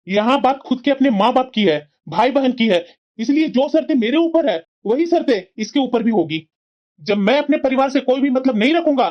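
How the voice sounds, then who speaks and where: A woman doing a stern young husband, lo-fi. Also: high voice. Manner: firm, lecturing. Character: earnest young husband and dutiful son. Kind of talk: cartoon voice acting. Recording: dull lo-fi recording.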